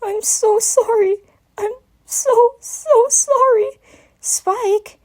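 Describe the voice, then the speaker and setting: A woman's voice: very high-pitched, drawn-out words, a little mellow, pitched above the performer's own voice, heavily acted. A woman voicing a guilt-ridden baby boy dragon in a story reading, tearful and pleading in a hesitant whimper. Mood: sobbing, apologetic. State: crying, sobbing.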